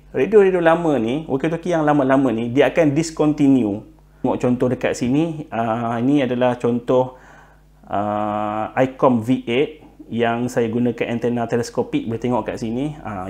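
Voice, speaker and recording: A man with a Malaysian accent explaining tips informally and unscripted, pausing on fillers, repeating himself and mixing in English words. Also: mid-range voice, a little mellow. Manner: halting, frequent filler words and repetition; code-switches with English words. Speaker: presenter giving buying tips.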